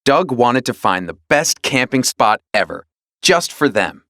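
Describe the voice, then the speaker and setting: Male storybook narrator for young readers: animated, dramatic, mid-pitched, dry studio-style sound. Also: a little slow, no echo. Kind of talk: scripted children's read-along narration.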